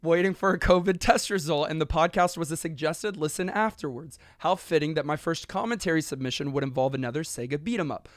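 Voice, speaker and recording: A man's voice: a little high, quick pace, few pauses, no echo, clean studio sound. A man co-hosting a podcast, reading listener emails aloud steadily and adding joking asides in casual chat. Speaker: podcast co-host.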